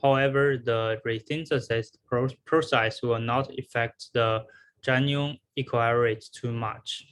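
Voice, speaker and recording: A man giving a scripted academic research presentation in a halting way, with repeated words and pauses. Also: medium pitch, fairly even intonation, a bit bright, clear, resonant tone.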